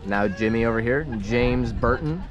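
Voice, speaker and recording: A man's voice: medium pitch, moderate pace, dull audio. A young man filming outdoors, giving playful, rambling commentary as he teases the people around him. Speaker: camera operator giving commentary. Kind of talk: casual joking commentary. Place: outdoor parking lot by a boat on a trailer. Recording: outdoor camera mic with noise.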